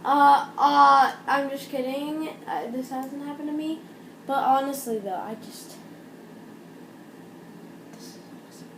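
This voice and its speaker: A young woman with a high, light voice, chatting through a reaction video. She cuts in with quick, joking remarks. The sound is roomy with clear noise behind her. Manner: playful, jokey interjections. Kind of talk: casual reaction commentary.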